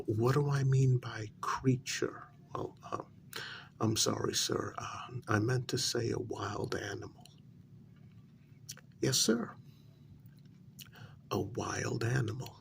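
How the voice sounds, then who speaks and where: A man playing a nervous, deferential night-shift road worker in a soft-spoken ASMR role-play, halting and polite as he gives his account. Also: on the low side, animated, gravelly, slight background noise, exaggerated voice. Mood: uneasy, evasive. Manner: halting, deferential, with frequent pauses and polite sirs. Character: deferential, nervous night road-crew workman, adult man, hesitant witness. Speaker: ASMR performer.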